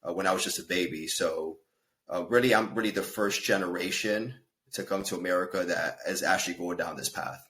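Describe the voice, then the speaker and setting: A man's voice: deep voice, fairly even intonation, a little fast, fairly bright tone. A man in his thirties, born in Peru and raised in the States, telling his story earnestly in a casual podcast interview, with fillers and self-corrections. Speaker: guest, fitness and life coach.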